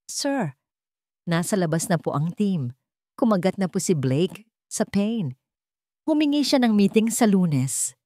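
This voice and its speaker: A woman's voice: mid-range voice, big pitch swings, a little slow, long pauses, dark tone, no room sound. A woman playing a formal, deferential male assistant with a professional manner in an audio drama. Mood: businesslike.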